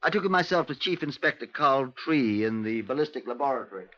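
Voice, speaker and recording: Clipped, forceful British police inspector. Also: male voice, medium pitch, lively intonation, no echo. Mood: matter-of-fact. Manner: clipped, formal, measured police-report delivery. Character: brisk, methodical male Scotland Yard inspector. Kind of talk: 1950s radio drama acting, narration and dialogue. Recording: dull, lo-fi 1950s radio recording.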